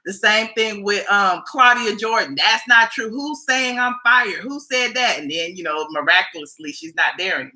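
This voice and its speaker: A woman hosts a live call-in gossip chat with a very bright, sharp tone. She talks in a loose, casual way. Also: mid-range voice, expressive, moderate pace, hardly any pauses, low-quality recording. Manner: casual, conversational. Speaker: host.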